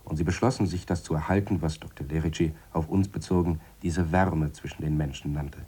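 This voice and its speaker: Radio drama reflective scientist: very low, mellow, slightly husky, measured and wryly lecturing. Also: male voice, steady tone. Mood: earnest, reasoning. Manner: measured, dry, explanatory. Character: Harry, deliberate, wry male scientist explaining his theories.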